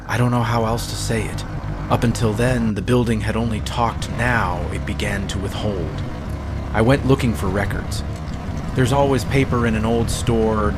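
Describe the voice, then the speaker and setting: A low male voice tells a scripted horror story in the first person. His delivery is measured and literary, in the manner of a storyteller. Music plays clearly underneath him throughout. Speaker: narrator.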